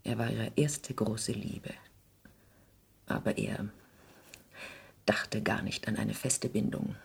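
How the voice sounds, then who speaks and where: A wounded, protective mother in old radio drama style. Her voice is deep for a woman and rough-edged. She speaks solemnly and haltingly, with middle-aged gravity. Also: steady tone, exaggerated voice. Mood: sorrowful. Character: grave, wounded, protective mother with a bitter secret. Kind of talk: 1960s German radio drama acting.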